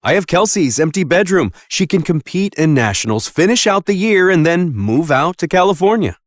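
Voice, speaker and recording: Man as a lively, protective middle-aged dad. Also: a little high, moderate pace, no room sound. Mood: earnest. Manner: earnest, expressive. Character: kind, protective middle-aged father and neighbour. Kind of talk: animated story dialogue.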